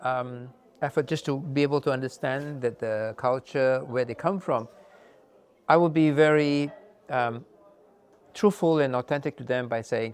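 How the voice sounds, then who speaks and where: A man giving a measured, earnest talk as a counselor, answering questions with many fillers and pauses. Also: mid-range voice, darkish tone.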